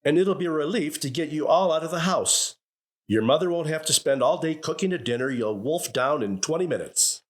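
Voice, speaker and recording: A man's voice: on the high side, lively intonation, fairly quick, natural voice. An actor playing an impatient, sarcastic middle-aged father with an American accent in an old-time radio sitcom. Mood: irritated. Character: exasperated, grumbling middle-aged family father, quick to interrupt.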